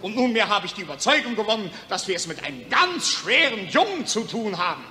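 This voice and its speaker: A man's voice: high voice, sing-song, fairly quick, pushed and bright, soft background music, full character voice. A man acting a pompous, jingoistic psychiatrist presiding over colleagues in a declamatory 1940s radio play style. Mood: indignant. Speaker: actor. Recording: 1940s radio recording, long hall reverb.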